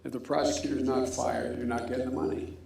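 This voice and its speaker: A man's voice: mid-range voice, level tone, fast, creaky, darkish tone, heavy reverb, slight background noise. An older man boastfully recounting a story, quoting himself, in a distant archival clip.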